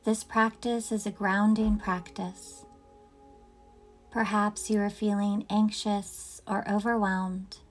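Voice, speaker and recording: Slow, soothing woman guiding meditation over music. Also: mid-range voice, little pitch movement. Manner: gentle, soothing, unhurried with long pauses. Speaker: yoga nidra guide. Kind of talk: guided meditation narration.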